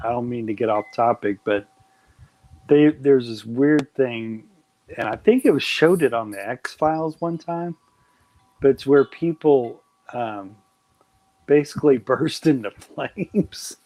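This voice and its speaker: A man hosting a live stream late at night talks in a casual, rambling way. His voice sits at an everyday middle pitch. He sounds enthusiastic and often restarts his sentences. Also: takes it slowly, dark tone, poor audio. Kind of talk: casual chat.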